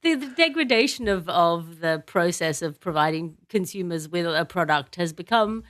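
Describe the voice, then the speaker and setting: A woman's voice: on the low side, expressive, normal speed, fairly bright tone, no echo. A woman hosting a satirical comedy panel show, speaking in a wry, articulate way and often breaking into laughter. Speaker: podcast host.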